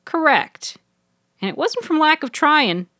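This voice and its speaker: Woman playing a wry young student, high-pitched. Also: big pitch swings, unhurried, bright, forceful tone, no room sound, studio quality, full character voice. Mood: pleased.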